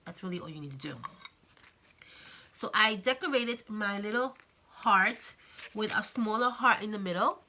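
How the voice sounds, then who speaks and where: A woman hosting a casual crafting tutorial, chatty and friendly, her voice slightly raspy from a cold. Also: medium pitch, normal speed, long pauses, bright, forceful tone. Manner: chatty, friendly, many fillers and "okay?" check-ins. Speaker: craft channel host.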